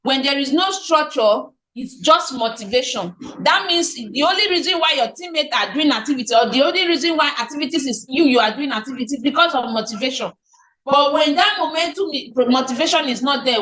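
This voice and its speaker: High-voiced Nigerian woman coaching her team. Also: a bit bright, a little hiss or hum. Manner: repetitive, asks and answers own questions. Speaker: team leader. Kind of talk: motivational business training. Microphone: online meeting call. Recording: echoey room on a call.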